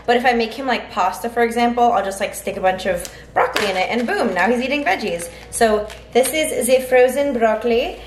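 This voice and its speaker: A woman's voice: fairly high pitch, brisk, hardly any pauses. A young woman in her twenties chatting casually through a home cooking vlog, upbeat and thinking aloud as she works, filmed close on a handheld camera in a small apartment. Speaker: vlogger. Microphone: handheld camera close to face. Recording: echoey room with faint background noise.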